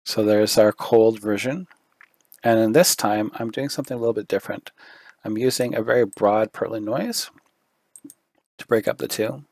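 A man giving a screen-recorded software tutorial, thinking aloud with fillers, his voice going hoarse. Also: on the low side, steady tone, moderate pace, dry recording. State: losing his voice, throat clearing.

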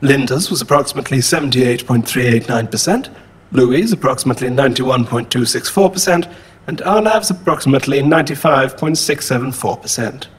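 A man's voice: mid-range voice, animated, a little fast, husky. A man playing a polite, literal-minded robot companion in an audio drama, speaking precisely and formally. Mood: earnest, matter-of-fact.

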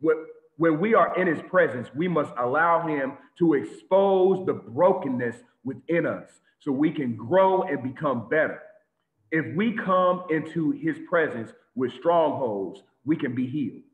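A man preaching an animated sermon to a congregation over a video call, with lots of repetition and sudden shouts. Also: on the high side, measured pace. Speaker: teacher of a congregation. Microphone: video call webcam. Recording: tinny phone-like echoey audio.

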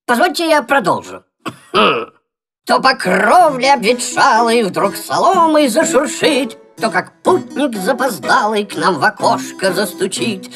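A man's voice: extremely high pitch, big pitch swings, a little slow, heavily acted. A man voicing a pompous, proud old professor in a children's cartoon, with a declamatory manner. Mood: grandly declaiming. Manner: declamatory, theatrical. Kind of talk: animated children's cartoon acting. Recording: music under the voice.

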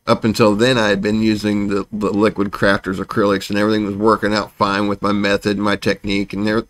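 A man in his forties with a lowish voice hosts a casual home art channel update. He rambles along with frequent restarts and fillers. He is recorded in a small dark home studio on a camera mic. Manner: rambling, with many restarts and fillers, gestures while talking. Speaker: channel host and landscape painter.

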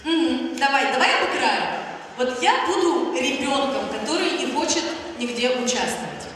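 A woman's voice: sits high, lively intonation, normal speed, a bit bright. A young woman presenting a contest with casual, improvised talk on a theatre stage. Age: young adult. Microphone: handheld microphone through hall speakers. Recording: very echoey distant hall, crowd noise.

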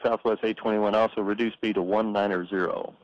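A man working as an air traffic controller, giving courteous, measured instructions over the radio. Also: lowish voice, little pitch movement. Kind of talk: radio phraseology. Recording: muffled radio transmission with hiss.

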